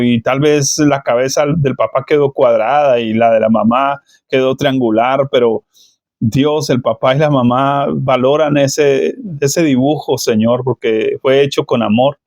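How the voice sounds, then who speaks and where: A man leading a spoken prayer, slow and measured, calling on the Lord between nearly every phrase. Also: medium pitch, darkish tone, dry recording. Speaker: praying minister.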